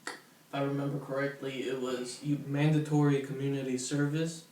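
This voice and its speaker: A man's voice: medium pitch, level tone, brisk, mellow, a little hiss or hum. A man from Brazil in casual podcast chat, blunt and swearing freely. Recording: echoey, distant from mic.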